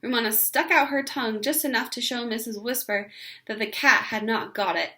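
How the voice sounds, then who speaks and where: High-voiced woman reading a children's story. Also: normal speed, pushed and bright. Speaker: read-aloud narrator. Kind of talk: expressive children's story narration.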